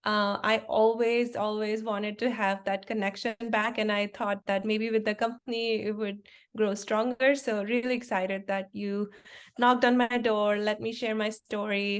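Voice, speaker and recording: A woman in her forties from India, a company founder, explaining her work in a relaxed interview with lots of fillers and restarts. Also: fairly high pitch. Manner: explains things simply with many fillers and restarts. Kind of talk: conversational interview.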